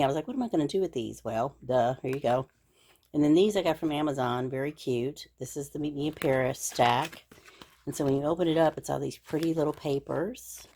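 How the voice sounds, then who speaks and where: A woman's voice: sits low, warm tone. A middle-aged woman hosting a crafting video, chatting and gushing over her supplies with plenty of fillers, her voice a little scratchy from a cold. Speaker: craft channel host. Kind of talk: casual crafting show-and-tell.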